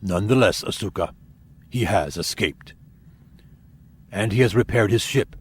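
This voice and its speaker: A man playing a grave, honorable alien warrior officer in an audio drama, speaking in a formal, measured and deliberate way. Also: lowish voice, husky. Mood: grave warning.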